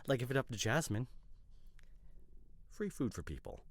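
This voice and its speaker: A man playing an opinionated, teasing boyfriend in an ASMR roleplay, chatting casually in a hesitant, rambling way with mock seriousness and a gravelly voice. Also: mid-range voice, big pitch swings, moderate pace, dry recording. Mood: earnest, argumentative. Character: opinionated, teasing boyfriend debating couple's costume ideas.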